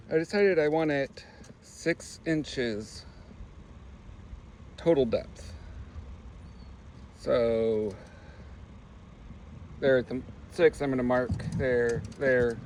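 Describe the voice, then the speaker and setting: A man's voice: mid-range voice, drawn-out words, long pauses, a little hiss or hum. A man in his garage chatting casually and rambling through small car projects, with lots of fillers. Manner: relaxed, rambling, filler-heavy. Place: home garage.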